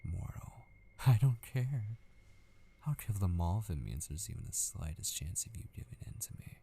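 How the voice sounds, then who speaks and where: Man playing a low, gravelly, obsessive kidnapper. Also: expressive, warm tone. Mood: threatening, obsessive. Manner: slow, taunting, often dropping into whispers. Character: obsessive mortal male yandere kidnapper, menacing and possessive. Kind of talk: intimate ASMR roleplay acting.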